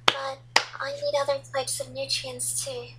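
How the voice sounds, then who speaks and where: A woman voicing a sleepy, deadpan young gamer girl, a little sister in an anime fandub, speaking softly, slowly and tersely. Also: sits high, very noisy. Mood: sleepy, flat. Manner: slow, soft, terse. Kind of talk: anime fandub script reading.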